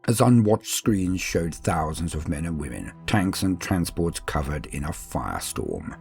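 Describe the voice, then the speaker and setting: Deep, gravelly male storyteller. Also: sing-song, a little mellow, soft background music. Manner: theatrical, measured, formal. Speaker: host and narrator. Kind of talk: dramatic scripted horror storytelling.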